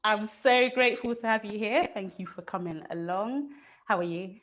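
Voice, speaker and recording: A woman's voice: mid-range voice, moderate pace, a bit bright. An upbeat English woman hosting a food story interview, laughing often and speaking over a video call. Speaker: host and food photographer.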